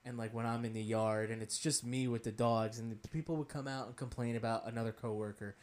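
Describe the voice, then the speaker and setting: A young man co-hosting a podcast, telling stories in a relaxed, dry-humored way with many filler words. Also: medium pitch, fast. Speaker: podcast co-host. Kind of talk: casual chat.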